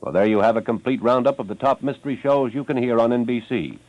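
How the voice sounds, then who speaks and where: A man's voice: mid-range voice, quick pace, no room sound. A man with an American accent reading scripted program promos as a 1950s network radio announcer. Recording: dull, dry vintage radio recording.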